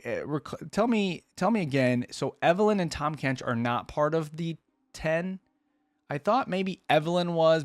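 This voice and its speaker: A man's voice: medium pitch, no echo. A man hosting a casual reaction video, thinking aloud in an informal, rambling way. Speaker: YouTube reaction host.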